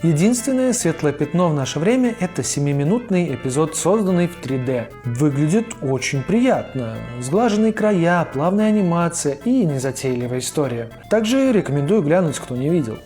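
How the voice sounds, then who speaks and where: Lively colloquial male video narrator. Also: on the high side, moderate pace, few pauses, soft background music. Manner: colloquial, opinionated. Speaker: host of a cartoon retrospective video. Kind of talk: informal scripted video-essay narration.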